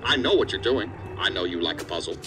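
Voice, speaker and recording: An actor playing an angry, stern assistant director in an audio drama, scolding and swearing. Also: male voice, low voice, lively intonation, fast talker, music in the background. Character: angry, hard-bitten male assistant director of investigative agency.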